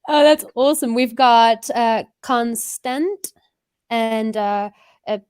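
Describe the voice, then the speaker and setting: English lady hosting on a video call, fairly high voice, chatty, dry close sound. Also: a little mellow, clean tone, dry recording. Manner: chatty, quick, laughs at herself. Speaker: show host. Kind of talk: casual interview chat.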